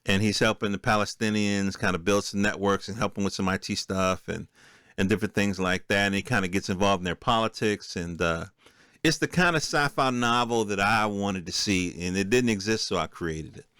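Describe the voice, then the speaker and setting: A man with a fairly low voice delivers an unscripted podcast monologue. His speech is casual and streetwise, full of colloquial turns, and the sound is very dry with hardly any room to it. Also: fairly quick, fairly bright tone, clear, resonant tone, no room sound. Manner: streetwise, colloquial, peppered with profanity. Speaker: podcast host.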